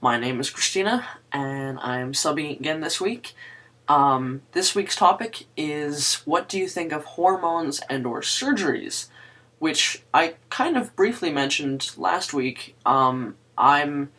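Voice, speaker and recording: A young woman with a low, androgynous voice, hosting a casual video chat and talking to camera with many pauses, ums and restarts. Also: animated, fairly bright tone. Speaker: guest host of a video chat series.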